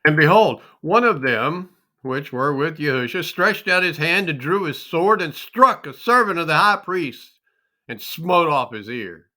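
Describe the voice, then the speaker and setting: Bright-voiced man reading scripture, slow and solemn. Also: fairly high pitch, animated. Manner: solemn, theatrical, deliberate delivery. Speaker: scripture reader. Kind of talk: dramatic scripted Bible narration, archaic English.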